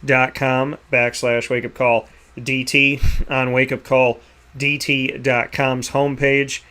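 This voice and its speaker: A man hosting a sports radio show, giving rambling, energetic shout-outs and sponsor plugs. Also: mid-range voice, slow. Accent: Boston. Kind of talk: enthusiastic sign-off with sponsor plugs.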